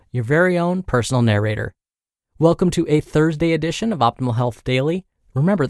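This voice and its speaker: A man narrating a health podcast, reading a blog post aloud as host with clearly phrased, presenter-like delivery. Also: medium pitch, darkish tone, no echo, clean studio sound.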